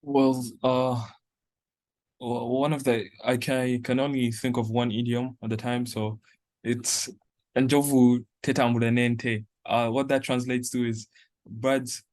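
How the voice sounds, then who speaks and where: A nineteen-year-old man, answering interview questions in a halting way, often restarting and repeating words. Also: medium pitch, level tone, darkish tone, dry recording. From raised in Uganda, born in South Sudan. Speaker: interviewee, university student.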